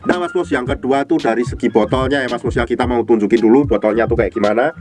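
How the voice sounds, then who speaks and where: A man's voice: mid-range voice, fairly even intonation, loud music behind the voice. A man with a cold and a blocked, nasal voice, demonstrating products casually for an online shop and calling the viewer "boss" all the time. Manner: fast and informal, calls viewer "Mas Bos" constantly. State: stuffy nose from a cold. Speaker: online herbal product seller.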